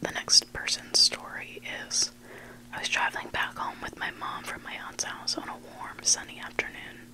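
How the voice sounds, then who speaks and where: A person reading stories aloud in a breathy, hushed ASMR whisper, close to the mic. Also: moderate pace, rough recording. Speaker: host reading listener-submitted stories. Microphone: close mic, made for headphones.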